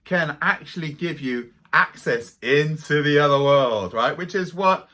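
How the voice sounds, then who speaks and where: Animated man telling spiritual stories. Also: a little high, unhurried. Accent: Welsh. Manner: animated, dramatic storyteller. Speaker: spiritual teacher. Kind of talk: storytelling to camera.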